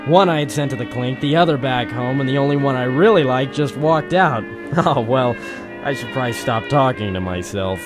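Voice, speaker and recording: An actor playing a weary, lovestruck, wisecracking private eye in an old-time radio detective drama. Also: male voice, mid-range voice, moderate pace, music in the background, close to an everyday voice. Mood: wistful narrating.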